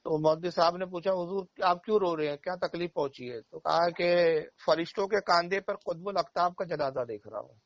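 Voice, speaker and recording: A high-voiced man explains religious matters like a cleric, emphatic and given to repeating key phrases. He is recorded over a phone call, with a dull, very dry sound. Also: fairly quick, few pauses, no room sound. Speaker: Islamic cleric.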